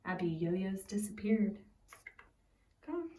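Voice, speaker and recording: A woman reads a picture book aloud as a preschool teacher, simply and expressively, pausing to put questions to young listeners. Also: medium pitch, sing-song, darkish tone. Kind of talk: read-aloud storytelling with questions to young children. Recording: echoey room, distant from the mic.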